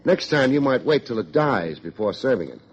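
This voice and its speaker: A hard-boiled American private eye, wisecracks with a lively, swinging pitch and unflappable wit. It has the sound of a fifties radio drama, dull and lo-fi. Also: male voice, a little fast, slightly rough. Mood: deadpan. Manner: wisecracking, deadpan. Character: wry, hard-boiled male private detective, unflappable and quick-witted. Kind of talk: 1950s American radio detective acting. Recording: dull lo-fi old radio recording.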